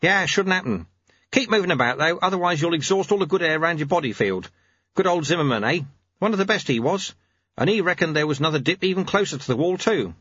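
A man's voice: a little high, lively intonation, fast, a bit bright, slightly rough, muffled recording, pitched above the performer's own voice. A man voicing a wily, cheerful old sweeper who coaxes and teases in colloquial speech, as an audiobook character. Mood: chatty, reassuring. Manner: colloquial, chirpy, coaxing.

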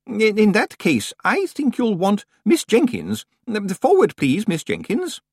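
A man's voice: high voice, wildly swooping pitch, measured pace, vocal fry, slightly rough, no room sound, studio quality, voice pushed up, exaggerated voice. A man voicing a nervous, fussy senior librarian who stammers and hesitates. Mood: flustered.

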